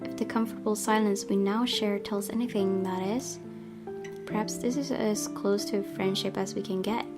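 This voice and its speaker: A woman's voice: medium pitch, steady tone, moderate pace. A woman hosting a let's-play, reading a visual novel's narration aloud and commenting on it. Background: guitar music.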